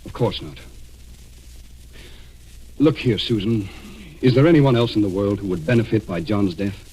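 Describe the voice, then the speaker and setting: A man's voice: fairly low pitch, normal speed, dark tone, lightly acted, rough recording. An actor playing an earnest, firm and authoritative man investigating a crime, in 1940s radio drama style. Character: steady, earnest, commanding male amateur sleuth. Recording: hissy vintage radio recording.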